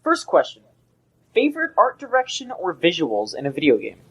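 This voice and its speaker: A man's voice: high-pitched, huge pitch swings, normal speed, a bit bright, husky, rough recording. A young man co-hosting a casual gaming podcast, rambling and often restarting his sentences. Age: young adult. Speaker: podcast co-host.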